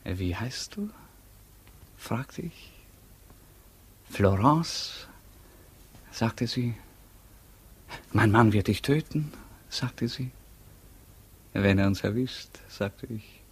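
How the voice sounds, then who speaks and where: An actor playing a boastful prisoner in a 1950s radio drama, spinning tall tales with dramatic, hesitant pauses. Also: male voice, fairly low pitch, expressive, breathy edge, full character voice. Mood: quiet, reminiscing.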